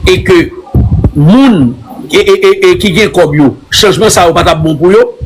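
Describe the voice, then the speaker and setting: A Haitian man with a noticeably high voice speaks hesitant French, full of repeated words and Creole expressions. He answers an interviewer's questions in a live online chat. A faint hiss and a few clicks sit behind him. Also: rough recording. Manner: halting, repetitive French, with many Creole words.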